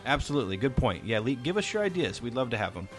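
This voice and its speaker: A man's voice: mid-range voice, big pitch swings, fast, over music. A middle-aged man hosting a casual family podcast chat in an encouraging manner. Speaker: podcast host.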